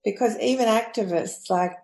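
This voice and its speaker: A woman giving her view as an expert guest, halting and thinking aloud. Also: on the high side, darkish tone. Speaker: psychologist guest. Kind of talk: reflective interview talk.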